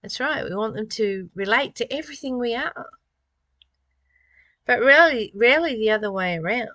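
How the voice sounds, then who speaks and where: A woman's voice: on the high side, expressive, normal speed, bright, forceful tone, no echo, muffled recording. A woman reading spiritual text aloud and commenting on it informally in a reflective, musing way, often posing rhetorical questions. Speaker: spiritual study reader and commentator.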